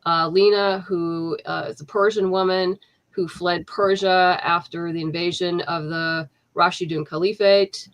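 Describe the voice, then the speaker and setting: Chatty woman with a lowish voice. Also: fairly even intonation, unhurried, muffled recording. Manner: chatty, many fillers and restarts, laughs easily. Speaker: comic book writer, guest. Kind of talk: casual interview chat.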